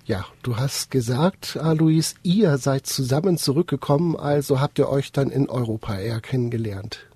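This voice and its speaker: A man's voice: medium pitch, moderate pace, few pauses, warm tone, slightly rough, no echo. A man hosting a studio interview, asking his guests questions in a friendly, direct way. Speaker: interview host.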